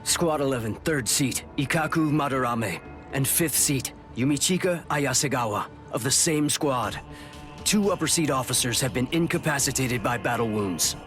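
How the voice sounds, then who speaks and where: A man's voice: mid-range voice, lively intonation, normal speed, fairly bright tone, soft background music, close to an everyday voice. An actor playing a male military messenger in an anime game, making a grave announcement in an official, clipped way.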